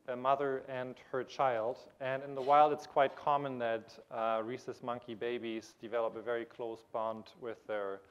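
A man's voice: mid-range voice, dull audio. Man lecturing from an auditorium stage. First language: German. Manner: explanatory, with frequent restarts and fillers; gestures while pacing the stage. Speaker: keynote speaker. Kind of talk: conference lecture. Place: large auditorium stage with audience. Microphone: lapel mic.

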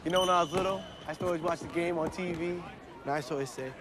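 An actor playing a brash, excitable young man who yells and banters in a packed indoor arena; his voice is high and strained. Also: crowd noise, natural voice. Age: young adult. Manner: yelling, rapid comic banter. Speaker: young man courtside at a pro basketball game. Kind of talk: broad comedy film acting.

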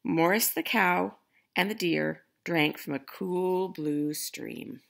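A woman's voice: fairly low pitch, slow pace, creaky, fairly bright tone. A middle-aged woman reading a picture book aloud and addressing young viewers at home. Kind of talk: children's read-aloud and friendly address to viewers. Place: living room at home.

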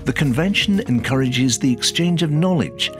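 A man narrates a documentary in a measured, steady way. His voice sits at a middle pitch. Music plays clearly behind him. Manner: measured, even delivery.

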